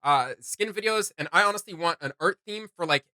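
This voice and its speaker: A man's voice: high voice, fairly quick, sharp tone, dry recording. A man hosting a reaction video, giving casual commentary with emphatic delivery.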